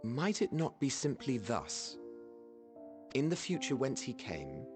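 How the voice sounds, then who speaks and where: An actor playing a calm, reflective scholar of an ancient people in a game cutscene, politely reasoning in archaic phrasing. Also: male voice, medium pitch, slow pace. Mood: musing, reasoning. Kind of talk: video game cutscene voice acting. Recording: music under the voice.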